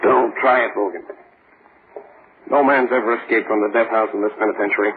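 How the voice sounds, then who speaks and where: An actor playing a stern, fatherly prison warden who speaks calmly and formally, in old radio drama style. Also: on the low side, brisk, lightly acted. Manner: calm, formal, paternal. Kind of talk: 1940s radio acting. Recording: tinny old radio broadcast with faint hiss.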